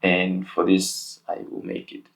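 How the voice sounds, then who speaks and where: A man's voice: deep voice, completely flat delivery, unhurried, creaky. A young man hosting a casual interview, talking in a hesitant way with many fillers. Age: young adult. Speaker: host.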